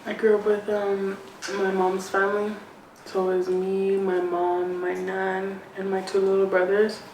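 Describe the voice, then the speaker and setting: A young woman telling her own story in an interview, soft-spoken and hesitant, correcting herself as she goes. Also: medium pitch, monotone, measured pace. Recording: roomy, mic a little far, faint hiss.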